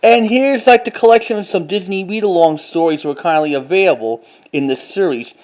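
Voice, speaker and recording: A man giving a casual show-and-tell as a collector, talking informally in a halting, repetitive way. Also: high voice, a little mellow, poor audio. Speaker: collector showing items to camera.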